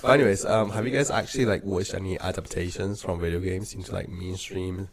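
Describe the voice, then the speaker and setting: Excitable low-voiced young man, crowd behind. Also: fast talker, vocal fry. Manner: excitable, rambling, giggly. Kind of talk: casual chat.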